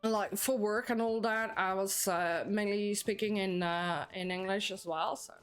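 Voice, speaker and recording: A woman's voice: mid-range voice, fairly even intonation, measured pace, clean tone. A young Dutch woman with a Dutch accent, chatting with viewers while streaming a game. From Netherlands. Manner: chatty, answers viewers, bursts of laughter. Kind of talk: live-streamed gameplay chat.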